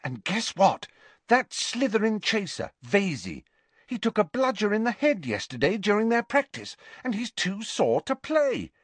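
A man's voice: sits high, wildly swooping pitch, a little slow, no room sound, pitched above the performer's own voice, full character voice. A man reading aloud and voicing a chirpy, eager teenage schoolgirl with a raised voice. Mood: bright eager. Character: bright, gossipy teenage schoolgirl athlete.

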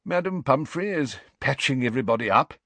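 A man voicing a calm, very old male headmaster who speaks quietly and gently. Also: mid-range voice, fairly quick, no echo, voice pushed up. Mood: calm, gentle.

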